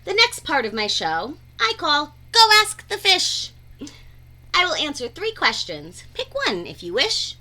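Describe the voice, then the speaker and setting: Lady reading a rhyming kids book out loud, super swoopy bright voice, sing-song, takes her time. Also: sits high. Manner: animated, deliberate, sing-song phrasing. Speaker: read-aloud storyteller. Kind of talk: expressive rhyming children's picture-book read-aloud.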